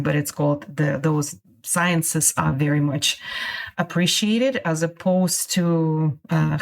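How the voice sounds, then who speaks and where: A middle-aged woman from Russia, a guest on a podcast interview, talking in halting phrases with many restarts. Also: low voice. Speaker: guest, immigration consultant.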